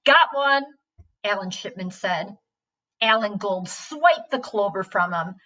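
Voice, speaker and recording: A woman reading a story aloud with expressive, deliberate phrasing. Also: mid-range voice, muffled recording. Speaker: story reader. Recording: distant from the microphone.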